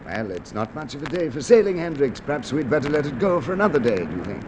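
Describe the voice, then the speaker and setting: A man's voice: fairly high pitch, sing-song, quick pace, very noisy. An actor playing a man lured onto a boat by his business partner, in an old radio drama. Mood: wary. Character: nervous, doomed male business partner. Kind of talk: 1950s radio drama acting.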